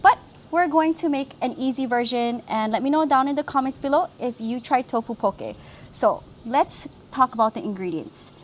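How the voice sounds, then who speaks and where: A woman's voice: sits high, animated, moderate pace, slight background noise. A woman from Hawaii hosting a cooking vlog, explaining each step of a simple recipe in an upbeat way. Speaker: cooking channel host.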